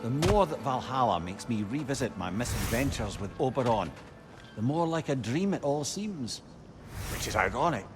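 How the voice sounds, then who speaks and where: A man's voice: medium pitch, expressive, moderate pace, music in the background, close to an everyday voice. A man playing a genial, witty old former trickster in a video game, with a Scottish accent and a wry, talkative, old-fashioned way of speaking. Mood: reflective. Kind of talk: video game dialogue, storytelling banter.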